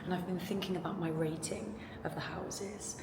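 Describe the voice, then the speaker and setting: Woman vlogging w a croaky worn out voice, lowish pitch, chatty and hushed in spots. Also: normal speed, noisy background. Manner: chatty, excitable, talking in a hush at times. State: hoarse, tired voice. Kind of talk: casual travel vlog to camera.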